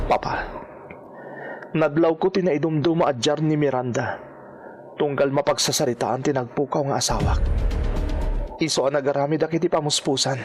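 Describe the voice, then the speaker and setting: A man playing an urgent, indignant husband of a vanished wife in a radio drama, accusing and emphatic. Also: fairly high pitch. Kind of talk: Ilocano radio drama acting. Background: dramatic music.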